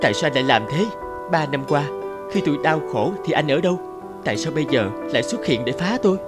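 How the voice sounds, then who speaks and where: An actress voicing a distressed, wounded young woman in an animated drama, emotional, indignant and pleading. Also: deep voice, quick pace, loud music behind the voice. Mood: indignant, defiant. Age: young adult. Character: distressed young engaged woman, defiant, wounded, guilt-ridden.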